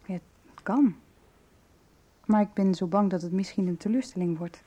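An actress as a caring woman in a radio drama, with a dark, husky voice at a middle pitch. She asks and answers in a concerned, inquisitive, natural way. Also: normal speed, close to an everyday voice. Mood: concerned, doubtful. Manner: concerned, questioning. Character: caring, inquisitive woman friend in a hospital bed. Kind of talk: radio soap acting.

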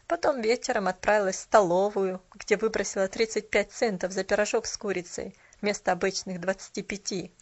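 A woman's voice: medium pitch, muffled recording, close to an everyday voice. A woman voicing a tired young shop cashier who speaks coolly with a bitter edge. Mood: weary. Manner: cool, tired, faintly bitter.

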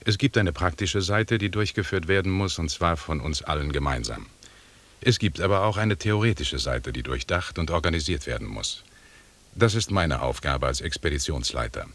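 Ein Schauspieler spielt in einem Hörspiel einen selbstsicheren, pompösen englischen Expeditionsleiter, förmlich und belehrend. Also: male voice, extremely low pitch, expressive, normal speed, a bit bright, heavily acted. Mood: stern, lecturing. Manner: pompous, lecturing. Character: self-assured, pompous English expedition leader, a knighted gentleman. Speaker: actor in a radio drama. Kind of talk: formal radio drama dialogue.

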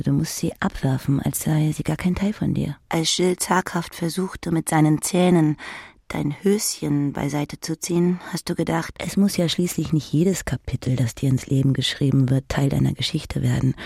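Casting: literary narrator, husky with vocal fry, intimate second-person delivery. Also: mid-range voice, a little mellow, no room sound, studio quality.

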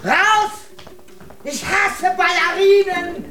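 A woman's voice: very high-pitched, very slow, a bit bright, soft background music, heavily acted. An actress playing a limp, dramatic, imperious and self-pitying queen in an absurdist radio drama, with a languishing, exclamatory delivery. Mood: indignant.

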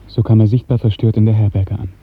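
Husky, low-voiced male radio narrator. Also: little pitch movement, fast. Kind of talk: scripted literary narration, 1950s radio drama. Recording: muffled, tinny 1950s radio recording.

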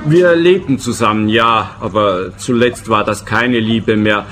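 A man's voice: fairly low pitch, measured pace, fairly bright tone, faint music behind. An actor playing a bitter, disillusioned male lover in a radio play. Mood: resigned, bitter.

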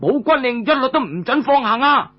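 Man acting a high, loud, stern fort commander. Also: animated, fairly quick, no echo, muffled recording. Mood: stern, challenging.

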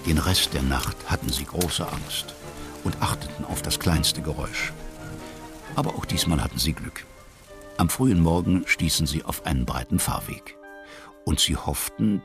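An actor playing the storyteller, narrating a German children's radio play. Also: male voice, rumbling low, moderate pace, music in the background. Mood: measured. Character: male storyteller.

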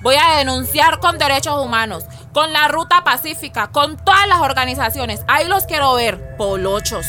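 Defiant Colombian mother, high voice, combative, exclamatory, radio drama, music underneath. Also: expressive, normal speed, few pauses.

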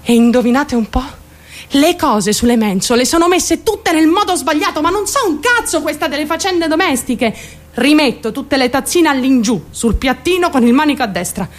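A woman's voice: high voice, lively intonation, moderate pace. An actress playing an obsessive, unstable young woman in a theatrical radio monologue, confiding and blunt, shifting abruptly and seeking agreement. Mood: disdainful.

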